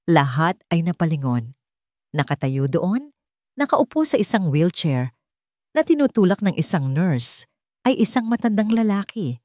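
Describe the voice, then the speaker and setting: A woman's voice: lowish voice, sing-song, a little slow, long pauses, darkish tone, no room sound, clean studio sound. A woman reading dramatic scripted narration as an audio storyteller.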